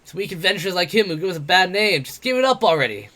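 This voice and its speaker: A man voicing a brash, mocking, drunk male wolf-man adventurer, loud and jeering. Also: high-pitched, very animated, fast talker, pitched above the performer's own voice, exaggerated voice. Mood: sneering.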